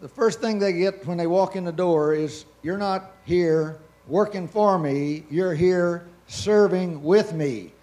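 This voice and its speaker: Older male country doctor, hoarse, plainspoken and folksy. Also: sits high, slow, hardly any pauses, warm tone. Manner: folksy, matter-of-fact. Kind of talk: self-introduction on a panel.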